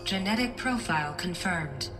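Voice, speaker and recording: Female AI system voiced by an actress: precise, procedural, emotionless game announcements in a lowish register over music. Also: lively intonation, moderate pace, natural voice. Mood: impassive, procedural. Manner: formal, impassive, precise. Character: impassive female computer door-security system. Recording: music behind the voice.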